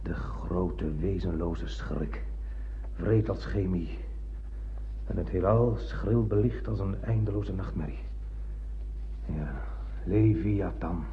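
An actor playing an obsessed, blind older spaceship captain in a radio drama, declaiming poetically and grandly. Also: male voice, sits low, slow, long pauses, slight background noise. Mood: haunted, brooding. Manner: declamatory, poetic, swinging from hushed to ranting. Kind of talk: theatrical radio drama monologue. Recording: muffled old radio play recording.

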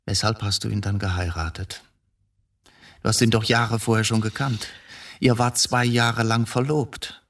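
Bitter, sardonic grown son, low-voiced. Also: male voice, fairly even intonation, unhurried, a bit bright, recorded from a distance. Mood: accusing. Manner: sardonic, accusing. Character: bitter, cynical grown son confronting his mother. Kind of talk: radio drama acting.

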